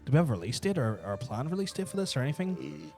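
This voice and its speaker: Brief: male podcast co-host, rapid casual banter, trailer music faint underneath. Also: a little high, gravelly. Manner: quick, excitable, swears freely. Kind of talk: casual chat reacting to game trailers.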